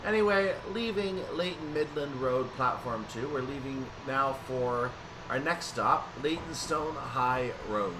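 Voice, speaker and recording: Man commentating over clear background noise. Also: medium pitch, measured pace. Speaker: gameplay commentator. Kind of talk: train simulator play-along commentary. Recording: steady noise behind the voice.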